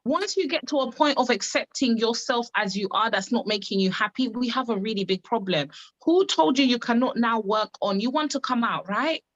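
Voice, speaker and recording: A woman from Uganda, giving an emphatic, repetitive motivational pitch on a live call. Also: expressive, moderate pace, dull audio. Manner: emphatic, repetitive, testimony-driven. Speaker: wellness business coach on a live call.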